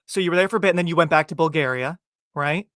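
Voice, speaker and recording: An American man with a somewhat high voice chats as a podcast host in an enthusiastic, informal way, often saying "like". The sound is dry and close, with very little room in it. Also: rapid-fire, fairly bright tone, no room sound. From New Jersey, United States. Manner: chatty, enthusiastic, uses "like" often. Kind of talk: casual chat.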